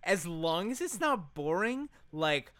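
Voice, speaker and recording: Crisp, bright male podcast co-host. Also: high voice, big pitch swings, takes it slowly, dry recording. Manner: informal, slangy, opinionated. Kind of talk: casual podcast chat.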